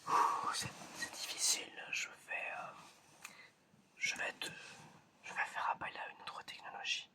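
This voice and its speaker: An ASMR performer playing an eccentric examiner in a whispered roleplay, speaking slowly and hesitantly and repeating phrases. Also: pushed and bright. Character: eccentric examiner testing whether the listener is human.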